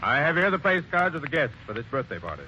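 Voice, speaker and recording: An actor playing a brisk, businesslike police sergeant in a 1940s radio mystery, questioning in a commanding way. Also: male voice, a little high, very animated, sharp tone, heavily acted. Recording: dull old radio recording with faint hiss.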